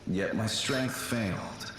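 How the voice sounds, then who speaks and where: A fallen warrior's spirit for a fantasy game, courteous and mournful. He speaks in a measured, unhurried way. The voice rings with heavy echo and sits a little far off. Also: on the low side, a bit bright. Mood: solemn, formal. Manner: formal, solemn, courteous. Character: melancholy ghost of a slain male yaksha warrior. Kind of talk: video game voice acting. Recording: echoing, slightly distant, faint noise.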